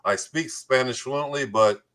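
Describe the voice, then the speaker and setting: Male host, forceful bright delivery, mid-pitched, playful between scripted guest introductions. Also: a little slow. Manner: jokey, playful. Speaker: talk show host. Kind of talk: hosting a community talk show, part scripted introductions, part banter.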